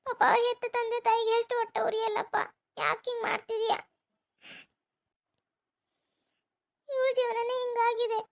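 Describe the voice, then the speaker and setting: A woman's voice: very high voice, level tone, quick pace, vocal fry, pitched above the performer's own voice. A woman voicing a worried middle-aged mother who nags and pleads with her grown son, in a home-made cartoon. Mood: tearful lament. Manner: nagging, pleading, lamenting.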